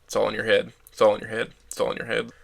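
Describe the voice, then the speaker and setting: An actor playing a bitter, self-loathing young trauma survivor, narrating an audio drama in the first person with a brooding manner. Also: male voice, fairly low pitch, completely flat delivery, very fast, creaky, a bit bright, full character voice. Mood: shaken, insistent.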